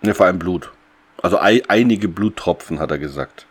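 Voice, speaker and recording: A man's voice: sits low, fairly quick, husky. A man co-hosting a podcast, recapping a radio play in casual conversation. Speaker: podcast co-host.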